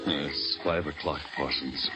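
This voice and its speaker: An actor in an old radio drama playing a grave, watchful senior officer of the Revolutionary War. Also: male voice, rumbling low, fairly even intonation, slow, over loud music. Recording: noisy old radio recording.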